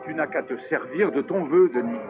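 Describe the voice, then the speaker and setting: An actor voicing a polite, old-fashioned genie who is centuries old, in a dubbed cartoon. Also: male voice, fairly high pitch, moderate pace, music in the background, lightly acted. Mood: fussy. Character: polite ancient male lamp genie. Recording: dull lo-fi VHS tape audio.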